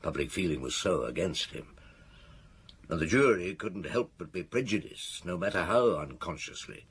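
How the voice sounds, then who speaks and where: Deep, husky seasoned detective. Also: male voice, expressive, moderate pace, a bit bright, exaggerated voice. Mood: reflective, regretful. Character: seasoned, thoughtful male French detective recounting a case. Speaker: actor in radio drama. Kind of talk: radio drama dialogue.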